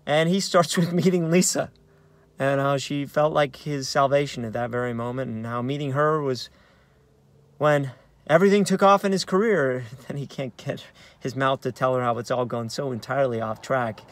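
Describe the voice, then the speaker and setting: A man in his thirties with an American accent, an actor reading a play as conversational, halting narration with uneven emphasis, recorded inside a car. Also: medium pitch, no room sound. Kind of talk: dramatic narration of a play read on book. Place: inside a parked car in daylight.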